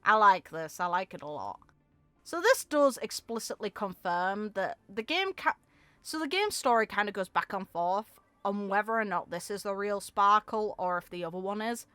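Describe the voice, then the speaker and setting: A woman's voice: medium pitch, lively intonation, normal speed, bright, forceful tone, smooth, no echo. A woman giving casual, reflective commentary on a video game in an informal, opinionated way.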